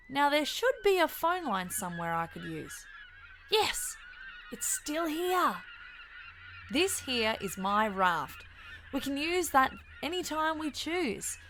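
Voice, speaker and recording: A woman's voice: sits high, a bit bright, a little hiss or hum, full character voice. A woman voicing a plucky, helpful pig sidekick in an animal audio drama.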